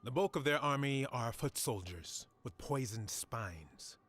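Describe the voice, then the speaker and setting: An actor playing a grave scholar in a fantasy game, speaking in a solemn, deliberate, explanatory way. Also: male voice, medium pitch, animated, a bit bright. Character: grave male scholar briefing adventurers on ancient monsters. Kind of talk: scripted fantasy game cutscene acting.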